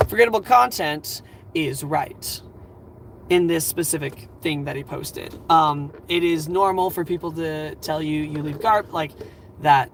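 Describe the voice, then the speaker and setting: A man in his twenties hosting a livestream, giving earnest advice in a rambling, halting way full of fillers, his voice a little scratchy and tired. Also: fairly high pitch. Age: 20s. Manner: earnest, reassuring. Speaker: livestream host.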